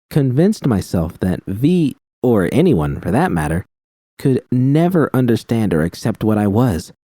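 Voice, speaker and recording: A man narrating first-person fiction in a steady, plain reading voice. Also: mid-range voice, no room sound, studio quality. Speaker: audiobook reader.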